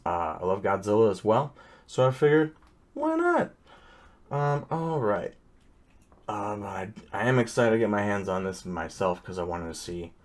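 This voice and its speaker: Easygoing lower-voiced man unboxing. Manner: easygoing, rambling, many ums and uhs. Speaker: toy unboxing vlogger. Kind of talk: casual unboxing commentary.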